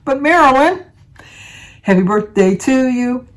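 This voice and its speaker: A woman's voice: sits high, animated, a little slow. A woman, chatting casually to her viewers and rambling through everyday stories. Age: 70s. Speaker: vlogger.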